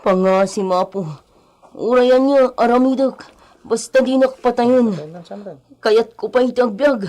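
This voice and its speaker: A woman's voice: on the high side, fairly even intonation, unhurried, dark tone, close to an everyday voice. A woman playing a meek, frightened captive who pleads falteringly for her life in a radio drama. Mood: fearful pleading.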